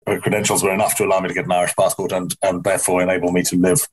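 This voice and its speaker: Man, husky, low, South African accent softened toward English, middle-aged, self-correcting interview talk. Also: little pitch movement, very fast, poor audio. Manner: rambling, self-correcting, wry. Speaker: interview guest, company founder. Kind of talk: conversational interview answers.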